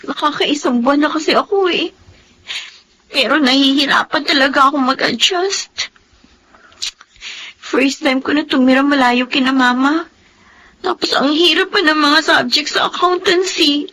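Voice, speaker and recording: A timid, high-voiced Filipina teenage student. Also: female voice, a little slow, pauses often, bright, forceful tone, slight background noise, poor audio. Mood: upset, discouraged. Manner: hesitant, meek, stammering. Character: timid, overwhelmed teenage girl, a new college student. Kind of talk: Filipino radio drama acting.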